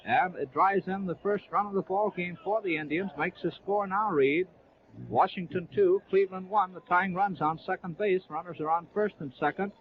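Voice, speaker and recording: A man's voice: high voice, normal speed. An American man calling baseball play by play as a 1930s radio announcer, narrating each move in clipped present-tense phrases. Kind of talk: 1930s live sports radio commentary. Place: live at a ballpark. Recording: muffled, noisy 1930s AM radio broadcast.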